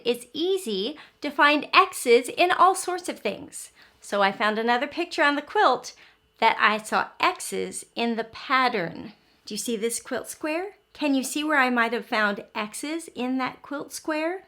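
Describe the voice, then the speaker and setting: Slow, animated woman teaching young children. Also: a little high. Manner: simple explanations with frequent questions to young children. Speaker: early learning teacher and story reader. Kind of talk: children's phonics lesson and read-aloud.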